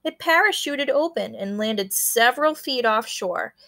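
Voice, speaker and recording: A woman's voice: on the high side, lively intonation, measured pace, creaky, a bit bright. A woman schoolteacher reading a children's book aloud and explaining it directly to her pupils. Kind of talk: children's read-aloud with teaching commentary.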